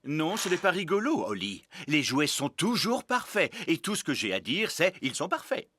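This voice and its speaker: A man's voice: a little high, big pitch swings, normal speed, sharp tone, no room sound, exaggerated voice. Grumbling fairy king, swooping intonation. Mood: grumpy. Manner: grumbling, weary. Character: grumpy, bored fairy king, middle-aged father. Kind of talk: French cartoon dub acting.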